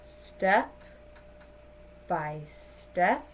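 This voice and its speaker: A woman in her thirties reading a picture book aloud to children like a teacher, with deliberate, dramatic emphasis. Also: on the low side, expressive, slight background noise. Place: small indoor room at home.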